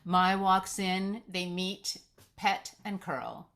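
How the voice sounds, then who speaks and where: Slow-paced woman reading stage directions. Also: medium pitch, flat delivery, fairly bright tone. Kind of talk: scripted narration of screenplay directions.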